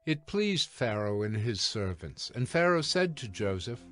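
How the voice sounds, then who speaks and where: A man with a medium-pitched voice narrates scripture from a script. His delivery is measured and storylike, with soft music underneath. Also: very animated. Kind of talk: scripted dramatized Bible narration. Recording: music behind the voice.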